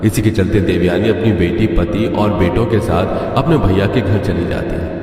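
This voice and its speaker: A man's voice: sits low, moderate pace, warm tone. A man narrating a scripted cartoon story. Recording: very echoey hall, distant-sounding.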